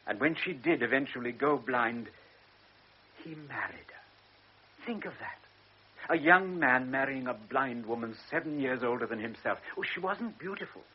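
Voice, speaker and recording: An actor playing a frail, anxious elderly baron who is a devoted father. He speaks earnestly, stammers and drops into hushed tones. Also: medium pitch, expressive, a little slow, natural voice. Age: older. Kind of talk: classic radio drama acting. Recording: lo-fi radio recording with faint hiss.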